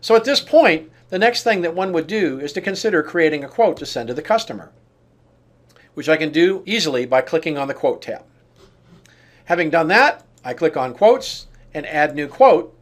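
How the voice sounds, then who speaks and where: A man with a somewhat high-pitched voice presents a software demo. He talks through each on-screen action step by step, explaining as he goes in the manner of a tutorial. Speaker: software demonstrator. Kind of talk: walkthrough tutorial narration of on-screen steps.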